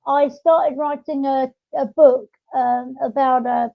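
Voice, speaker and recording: High-pitched woman, halting podcast chat. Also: fairly even intonation, creaky, dry recording. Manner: rambling, halting explanations. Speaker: podcast host. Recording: dull, lo-fi audio.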